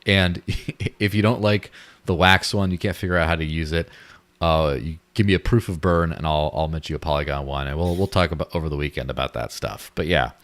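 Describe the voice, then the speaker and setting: A man's voice: rumbling low, brisk, fairly bright tone. A man hosting a casual podcast, rambling and joking and laughing at his own jokes. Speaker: podcast host. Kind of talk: casual chat.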